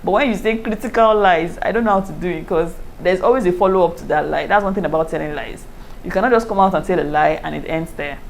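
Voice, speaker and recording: A woman with a Nigerian accent, chatting casually to her viewers in a lively way and often breaking into laughter. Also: fairly low pitch, quick pace, vocal fry, a little hiss or hum, low-quality recording. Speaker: YouTuber. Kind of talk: casual review chat to camera.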